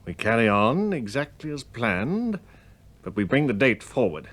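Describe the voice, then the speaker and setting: Clipped, guarded Englishman, animated pitch. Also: unhurried. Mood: cold, scheming. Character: curt, scheming, impatient husband under police suspicion. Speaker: suspected husband in a radio play. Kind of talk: British radio drama acting.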